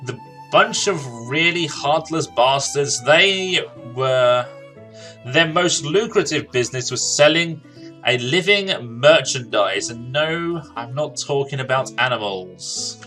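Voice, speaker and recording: A man's voice: medium pitch, animated, takes it slowly, bright, forceful tone, soft background music. A man doing casual, jokey gaming commentary, talking to himself and reading game dialogue aloud. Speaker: gaming streamer.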